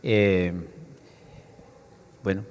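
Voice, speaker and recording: A man's voice: on the low side, steady tone, very slow, darkish tone, a little hiss or hum. A man moderating a book fair panel, speaking hesitantly with many fillers and restarts. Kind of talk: panel discussion. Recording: echoey hall sound.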